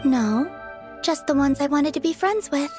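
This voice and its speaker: A woman voicing a serene, naive young maiden in a video game, soft, innocent and oddly matter-of-fact. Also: high-pitched, animated, moderate pace, music in the background.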